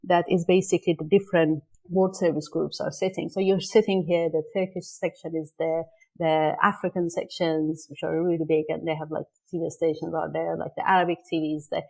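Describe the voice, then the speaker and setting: A woman's voice: low-pitched, level tone, a little fast, creaky, dark tone, poor audio. A middle-aged woman from Iran, a native Persian speaker, telling her story as an interview guest with frequent ums and restarts. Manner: thoughtful, many ums and restarts. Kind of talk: casual interview conversation.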